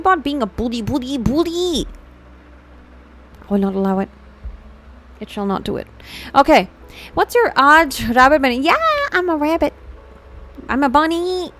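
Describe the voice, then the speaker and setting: A woman with a fairly high voice and an Indian accent hosts a casual livestream. She talks informally, reacting and chatting to viewers, and mixes Hindi into her English as she goes. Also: fairly bright tone. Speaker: VTuber livestream host.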